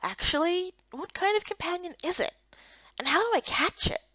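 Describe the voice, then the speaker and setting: A young woman doing an expressive, theatrical reading of a visual novel game as narrator and heroine. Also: very high voice, moderate pace, no echo, highs cut off. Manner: animated, theatrical. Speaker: voice actor narrating and playing the heroine.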